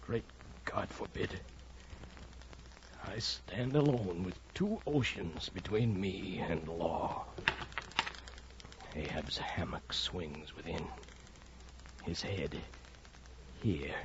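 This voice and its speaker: An actor playing a brooding, conscience-torn first mate of a whaling ship in an old radio drama, with solemn archaic diction. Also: male voice, low voice, slow, long pauses, noisy background. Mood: tormented. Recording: muffled old radio recording, music behind.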